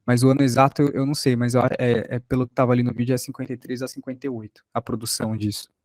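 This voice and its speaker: A man's voice: mid-range voice, flat delivery, racing, darkish tone, no room sound. A Brazilian man explaining things in a class discussion, a little hesitant, like a workshop teacher.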